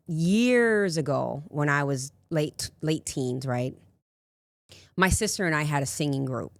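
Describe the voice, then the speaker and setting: A raspy woman in her forties, a life coach telling candid personal stories in a casual interview. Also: low-pitched, dry recording, clean studio sound. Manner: candid, rambling storyteller with frequent asides. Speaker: life coach, podcast guest.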